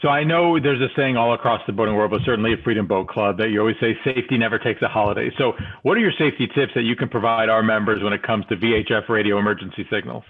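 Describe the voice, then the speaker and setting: American man, live show host, fast pace, thin band-limited call audio, interviewer delivery. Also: fairly low pitch, phone-quality sound. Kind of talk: scripted live hosting, interviewing. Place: indoors, video call with virtual background.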